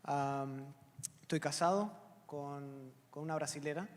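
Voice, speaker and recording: A man's voice: a little high, measured pace. A man introducing himself as a church panelist in an informal, joking way. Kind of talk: casual self-introduction to an audience. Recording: reverberant hall with loud audience.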